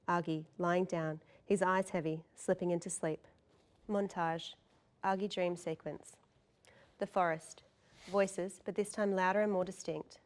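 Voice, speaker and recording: Female narrator for a scripted drama read, lower register, delivering scene headings and action lines. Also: a little mellow. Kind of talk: scripted narration of stage directions.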